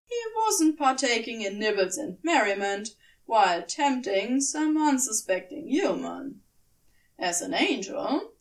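A woman narrating scripted fiction in a measured, expressive storytelling manner. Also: fairly high pitch, far from the mic, rough recording. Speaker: audiobook narrator.

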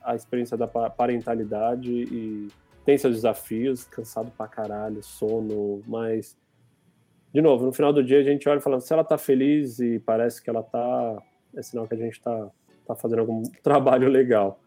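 Man in his thirties, host, laid-back rambling talk, mellow voice, faint music under it. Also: medium pitch, moderate pace. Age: 30s. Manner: relaxed, rambling, many fillers. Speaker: interviewer, father. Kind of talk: casual interview chat.

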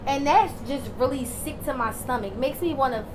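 A woman's voice: high-pitched, normal speed, noisy background. A woman in her thirties co-hosting a podcast, chatting bluntly and repeating her points. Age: 30s. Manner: blunt, animated, repeats herself. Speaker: podcast co-host. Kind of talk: casual chat.

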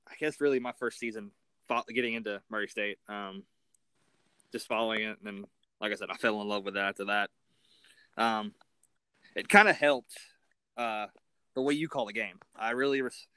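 A man interviewing a guest in a casual, halting way, as a sports fan. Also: medium pitch, sharp tone, smooth, no room sound.

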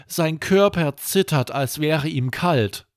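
A man reading a scripted children's bedtime story as narrator, with expressive storytelling. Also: fairly high pitch, takes it slowly, dry recording, clean studio sound.

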